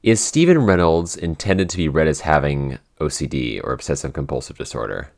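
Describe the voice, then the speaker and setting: A man's voice: very deep voice, expressive, brisk, creaky, darkish tone. A man co-hosting a casual podcast Q&A, talking hesitantly with many restarts and fillers. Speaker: podcast head writer and editor, co-host.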